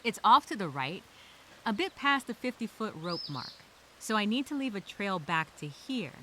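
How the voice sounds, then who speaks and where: A woman playing a scared but determined castaway, speaking her survival journal as a halting, expressive dramatic monologue. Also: mid-range voice, slight background noise. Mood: focused, weary.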